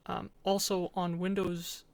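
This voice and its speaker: A woman's voice: lowish voice, flat delivery, a little slow. A woman giving an informal, matter-of-fact presentation as a student, explaining and narrating a game demo.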